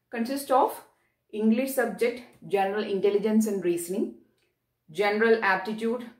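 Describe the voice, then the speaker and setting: A young woman with an Indian accent gives an instructional explanation to camera, repeating key points and often checking in with "okay". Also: medium pitch. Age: young adult. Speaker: presenter of an exam guidance channel. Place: small bare-walled room indoors. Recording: roomy echo, distant mic.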